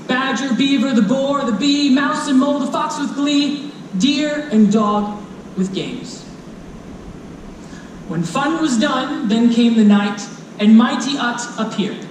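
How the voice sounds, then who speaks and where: Playful dramatic storyteller doing a kids book on stage, super echoey room, bit of background noise. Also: medium pitch. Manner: dramatic, playful, addressing listeners directly. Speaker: storyteller presenting a children's book. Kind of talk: theatrical rhyming storybook reading to a live audience. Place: convention stage before a live audience. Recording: echoey live hall, distant mic, faint noise.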